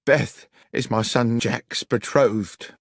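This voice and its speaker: A man's voice: medium pitch, steady tone, slow, creaky, no room sound, studio quality, pitched above the performer's own voice. A man voicing an elderly innkeeper in an audiobook, anxious, protective and bluntly indignant. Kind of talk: audiobook character voice.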